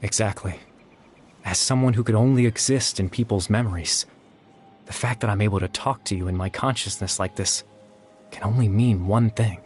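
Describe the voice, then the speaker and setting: A man voicing a young man who exists only in memory, calm and apologetic, speaking in a measured, quiet, explanatory way. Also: lowish voice, faint music behind, lightly acted. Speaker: game character. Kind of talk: video game voice acting.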